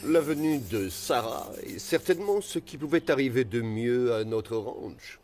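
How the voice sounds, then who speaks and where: A man voicing a wise, patient male animal in a French cartoon dub, speaking as a gently corrective sage. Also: mid-range voice, animated, a little slow, over music, natural voice. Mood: content.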